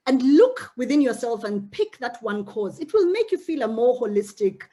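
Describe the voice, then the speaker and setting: A woman's voice: a little high, sing-song, normal speed, warm tone. A middle-aged woman from Uganda giving an earnest, direct talk to young women she mentors, often repeating words as she goes. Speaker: mentor speaking to a mentee cohort. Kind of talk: motivational talk.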